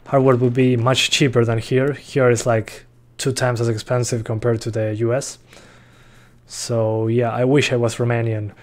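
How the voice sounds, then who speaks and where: A man's voice: medium pitch, little pitch movement, normal speed. A young man from Uruguay, chatting casually while streaming a game and reading chat aloud. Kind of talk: live gameplay commentary. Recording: faint gunfire behind voice.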